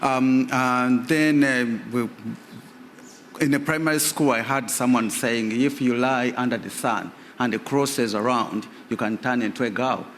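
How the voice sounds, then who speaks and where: A man's voice: mid-range voice, steady tone, unhurried, a bit bright, slight background noise. A man from Uganda, a guest in a live radio interview, telling his personal story hesitantly, with many fillers. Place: radio theatre stage. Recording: reverberant live theatre.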